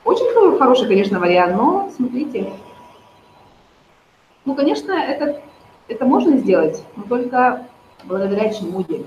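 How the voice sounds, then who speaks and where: A woman's voice: a little high, sing-song, normal speed, pauses often, a little mellow, a little hiss or hum. Woman, persuasive and roomy. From Yakutia. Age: 30s. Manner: persuasive, addresses viewers directly. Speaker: network marketing promoter. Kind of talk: persuasive talk to viewers. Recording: roomy, slightly dull recording.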